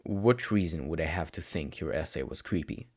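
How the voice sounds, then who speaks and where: A man voicing a weary male teacher, gruff but gentle, who chooses his words carefully in a story reading. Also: a bass voice, animated, voice pushed down, exaggerated voice. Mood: firm, gentle. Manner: measured, careful, deliberate.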